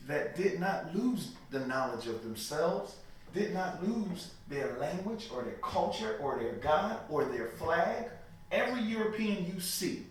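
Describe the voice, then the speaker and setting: A man giving interview answers in a preachy, emphatic way, often checking "you know what I mean". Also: high voice. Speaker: interview guest. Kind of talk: emphatic religious talk. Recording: echoey room, mic far off, light noise.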